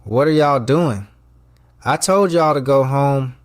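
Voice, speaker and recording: A man's voice: medium pitch, takes it slowly, dry recording, studio quality, pitched above the performer's own voice, close to an everyday voice. A man voicing a proud, guarded, stressed restaurant owner in an audio story. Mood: tense, dismissive.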